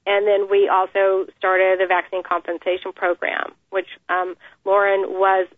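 Woman, interview guest, earnest, measured, pauses often, phone line, dry. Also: medium pitch, completely flat delivery, dry recording. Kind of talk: phone interview, personal account.

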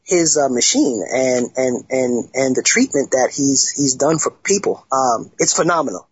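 A man hosting a casual internet talk show, speaking haltingly with many restarts and fillers. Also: medium pitch, expressive, slightly rough, no room sound. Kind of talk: casual podcast chat. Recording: dull lo-fi sound, dry.

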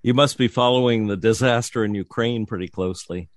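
A man's voice: fairly low pitch, fairly quick, no room sound, clean studio sound. A man hosting a radio interview show, speaking in a friendly, plain manner.

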